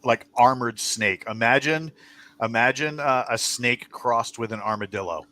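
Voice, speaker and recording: A man narrating a tabletop role-playing game as game master, improvising scenes in a halting, descriptive way. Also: mid-range voice, sharp tone, a little hiss or hum.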